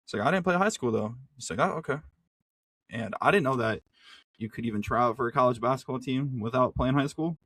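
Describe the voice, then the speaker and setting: A man's voice: mid-range voice, a little fast, fairly bright tone, husky. A man in his late teens chatting casually on a podcast, telling anecdotes in loose, slangy phrasing. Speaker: podcast co-host. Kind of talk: casual chat, personal anecdotes.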